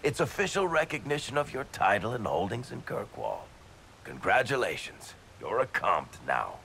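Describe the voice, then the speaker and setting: An actor playing a teasing, offhand dwarf storyteller in a video game. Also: male voice, on the low side, very animated, normal speed, vocal fry, bright, forceful tone, a little hiss or hum, full character voice. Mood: wry. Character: wry, easygoing male dwarf storyteller.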